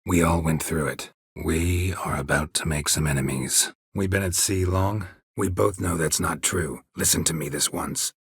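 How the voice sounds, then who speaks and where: A male actor playing a stoic, world-weary monster hunter in a video game, terse and deadpan, with a low gravelly rasp. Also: normal speed, dry recording, clean studio sound, exaggerated voice. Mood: calm, matter-of-fact. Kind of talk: video game character dialogue.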